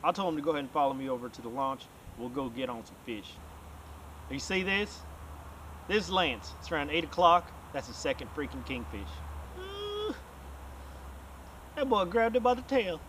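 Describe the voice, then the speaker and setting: A man's voice: a little high, moderate pace, long pauses, a little hiss or hum, low-quality recording. A man, talking casually and energetically to the camera outdoors by a bay. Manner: energetic, loose, swears casually. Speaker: vlog host.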